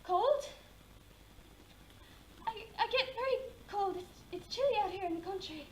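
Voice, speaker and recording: Crazed young wife, super high voice, swings all over, echoey stage. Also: female voice, expressive, unhurried, slight background noise. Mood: dazed, faint. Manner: distraught, wandering, breaking into screams. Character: young wife driven mad by grief. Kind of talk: stage drama.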